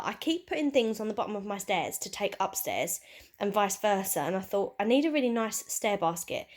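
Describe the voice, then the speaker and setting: A young woman with a mid-pitched, stuffy, bunged-up voice. She chats away and rambles, laughing now and then as she shows off her shopping haul. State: bunged up with a cold. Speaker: craft vlogger and teacher. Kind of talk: casual haul vlog chat.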